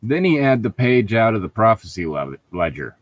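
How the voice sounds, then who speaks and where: A man's voice: on the low side, big pitch swings, moderate pace, dry recording. A man reading a story aloud as a co-host, breaking off for sarcastic, irritable, profane commentary and casual banter. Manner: sardonic, irritable, profane heckling.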